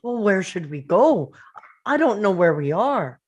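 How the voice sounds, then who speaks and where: A woman playing a gentle, uncertain middle-aged spouse at a table read, hesitant and accommodating. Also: mid-range voice, very animated, measured pace, slightly rough, highs cut off. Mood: uneasy. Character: gentle, uncertain spouse in their fifties, driving.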